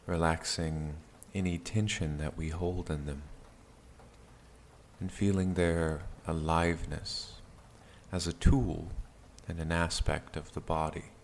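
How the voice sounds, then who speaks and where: Male meditation guide, low-pitched and unhurried, calm and gentle, over a steady rain backtrack. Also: slight background noise. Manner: calm, soothing, gentle phrasing with long pauses. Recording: steady rain sound behind the voice.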